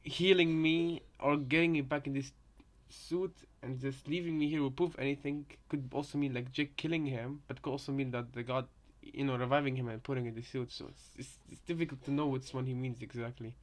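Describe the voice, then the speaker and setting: A man's voice: medium pitch, steady tone, brisk, few pauses. A man giving casual reaction commentary, hesitant and repeating himself as he thinks aloud. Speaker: reactor, fan theorist.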